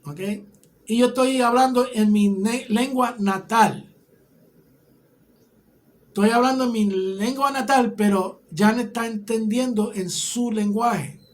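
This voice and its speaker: Un hombre con acento puertorriqueño que enseña una clase bíblica, explicando paso a paso y preguntando "¿ok?" a menudo. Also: male voice, very high voice, slow pace. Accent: Puerto Rican Spanish. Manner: explanatory, often checks in with "¿ok?". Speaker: Bible teacher. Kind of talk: teaching a Bible class.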